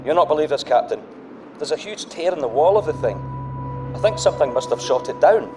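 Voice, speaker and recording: A man's voice: fairly high pitch, expressive, a little fast, background noise, close to an everyday voice. A man playing an awestruck wisecracking explorer. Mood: awed. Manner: breathless wonder, irreverent jokes. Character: awestruck, wisecracking male deep-sea explorer. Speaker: submarine diver McGovern. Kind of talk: audio drama acting.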